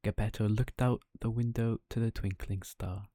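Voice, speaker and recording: Mellow male bedtime storyteller. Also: fairly low pitch, fairly even intonation, normal speed, no echo, clean studio sound. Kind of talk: bedtime story narration for children.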